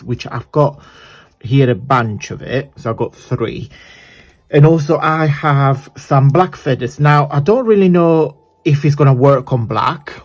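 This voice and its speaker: A man from southern Italy with an Italian accent and a croaky voice, hosting a chatty, rambling crafting tutorial. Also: mid-range voice. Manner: rambling, chatty, self-correcting.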